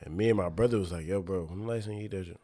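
Laid-back smooth-voiced man, low-pitched. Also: very fast, warm tone. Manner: laid-back, joking storyteller. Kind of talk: casual chat.

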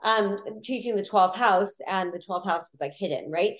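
A woman astrologer, a podcast guest, chatting casually and telling quick animated stories with voiced asides. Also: mid-range voice. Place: Florida.

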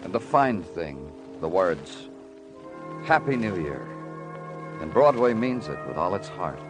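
An American actor playing a tough, world-weary police detective in vintage radio crime drama, with clipped, brooding delivery. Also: male voice, sits low, very animated, unhurried, music in the background. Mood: wistful, brooding. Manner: clipped hard-boiled delivery, brooding narration. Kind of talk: 1940s-50s radio crime drama acting.

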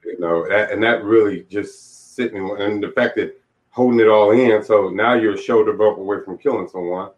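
Male interview guest, late 40s, lowish pitch, reflective and plain-spoken storyteller, slightly muffled recording. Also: normal speed. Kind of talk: candid personal storytelling. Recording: dull, lo-fi audio.

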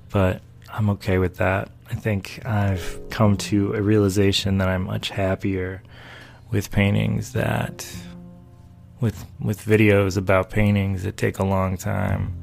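A gravelly man from North Carolina talks casually about his painting and his day. His voice sits fairly low and rambles along with easy amusement, with soft music far in the background. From North Carolina, USA. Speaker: painter and video maker. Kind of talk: casual talking-head chat.

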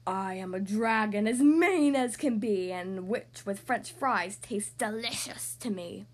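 A woman voicing a mean, hungry male dragon from a children's storybook, speaking in a slow, menacing manner. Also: medium pitch, voice pushed down, natural voice. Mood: menacing, gloating.

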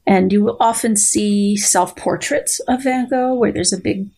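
A middle-aged woman speaks as a guest scientist on a show. Her phrasing is precise and deliberate, with a playful edge. She moves between formal reading aloud and plain conversational explanation. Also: mid-range voice, creaky, dark tone.

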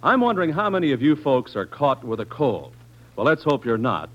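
A man's voice: sing-song, a little fast, a bit bright, muffled recording. An American man reading a scripted 1940s radio commercial as an earnest, emphatic announcer, on an old recording. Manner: earnest, emphatic sales pitch.

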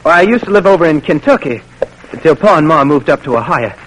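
A polite young private from a vintage radio drama. His voice sits fairly high and is husky, with an easygoing, folksy way of talking. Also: expressive, fast talker, poor audio. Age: young adult. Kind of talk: old-time radio drama acting.

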